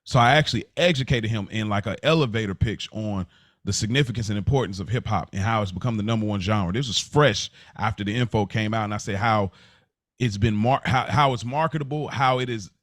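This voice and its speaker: A man co-hosting a podcast, telling stories in a casual, conversational way, halting and repeating phrases as he works out his point. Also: fairly low pitch, a bit bright, no room sound, studio quality. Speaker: podcast co-host.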